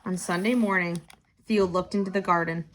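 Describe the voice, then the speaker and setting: A woman's voice: fairly low pitch, fairly even intonation, normal speed, slight background noise. Woman reading a children's storybook aloud.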